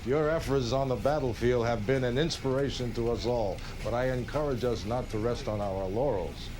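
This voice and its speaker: An actor playing a polished political director, speaking emphatically and like an orator in a war game cutscene. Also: male voice, medium pitch, normal speed, lightly acted, rough recording. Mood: resolute, rallying. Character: smooth-talking male political director rallying for war. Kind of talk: rallying address in a video game cutscene.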